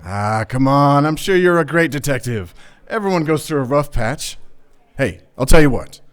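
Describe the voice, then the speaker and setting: A man playing a smooth-talking, deceitful young playboy in a noir radio play, with casual, slightly hesitant charm. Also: a little high, lively intonation, moderate pace, lightly acted. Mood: coaxing. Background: music.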